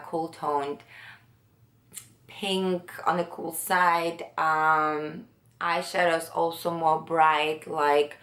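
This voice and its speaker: A woman from Latvia, giving a makeup tutorial in a hesitant way, often pausing to search for words. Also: low-pitched, a bit bright, echoey room. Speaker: beauty vlogger.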